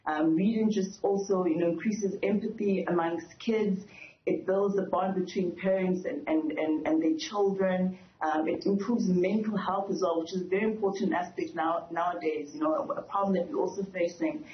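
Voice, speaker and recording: A woman's voice: lowish voice, steady tone, normal speed. A woman speaking earnestly as an expert guest in a news interview, explaining things and repeating words for emphasis. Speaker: author and educator, interview guest. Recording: dull, echoey lo-fi remote link.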